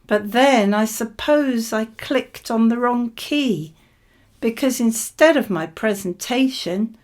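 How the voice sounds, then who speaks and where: A woman reading model answers for an English speaking exam in a conversational, measured and clearly articulated way. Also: a little high.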